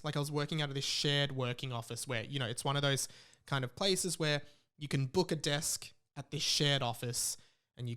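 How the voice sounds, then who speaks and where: A young Australian man with a fairly high voice hosts a podcast. He rants casually and comically, exaggerating and hammering points home by repeating them. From Melbourne, Australia. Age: late 20s. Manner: animated, exaggerating, emphatic repetition. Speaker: podcast host. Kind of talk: casual comedic rant.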